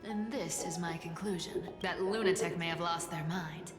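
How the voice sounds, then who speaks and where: A woman's voice: lowish voice, normal speed, lightly acted. An actress playing a sly, cocky demigod woman of trickery in an anime-style video game, teasing and smug. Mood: smug, knowing. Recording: loud background music, slight reverb.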